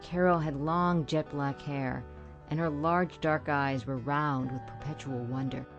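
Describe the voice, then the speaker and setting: Low-voiced older American woman storyteller. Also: steady tone, a little slow, highs cut off. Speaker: host and narrator. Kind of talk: scripted personal storytelling narration. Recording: guitar music behind voice. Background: guitar music.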